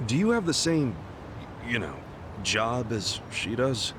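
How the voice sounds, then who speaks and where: A man's voice: medium pitch, big pitch swings, slow pace, noisy background. An actor playing an earnest, outspoken, good-natured man in an English video game dub, casual and direct. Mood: candid.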